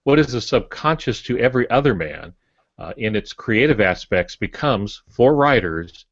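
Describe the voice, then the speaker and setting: An older man co-hosting a podcast, explaining ideas about writing in a measured, casual teaching way. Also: medium pitch, animated, no echo. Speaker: podcast co-host, writer and former preacher. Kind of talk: casual instructive discussion. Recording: muffled lo-fi podcast audio.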